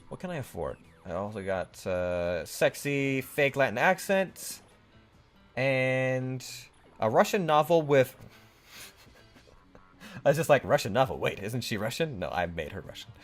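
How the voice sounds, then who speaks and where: A young man playing a video game with friends, rambling unsurely and reading game text aloud. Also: medium pitch. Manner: rambling, unsure, laughs a lot. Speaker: let's play host.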